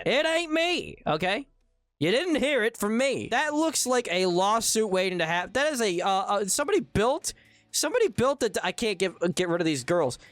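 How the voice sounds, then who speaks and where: A man hosting a gaming video, rambling and joking in excitable casual commentary to his viewers. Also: very high-pitched, no echo. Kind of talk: casual let's-play commentary.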